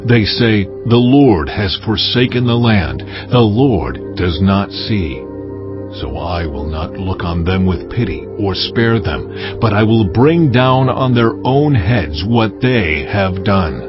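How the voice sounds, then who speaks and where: Brief: a stern, ancient God figure, low and grave, dull recording over music. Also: male voice, lively intonation. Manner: slow, solemn and grave pronouncements. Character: stern, commanding voice of God, ancient male deity. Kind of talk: dramatized scripture reading. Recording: dull lo-fi audio with music bed.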